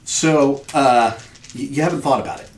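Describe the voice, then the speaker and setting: American guy game mastering, kinda halting, making it up as he goes, roomy echo. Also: mid-range voice. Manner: halting, thinking aloud. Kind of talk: improvised tabletop game narration. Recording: roomy echo, faint noise.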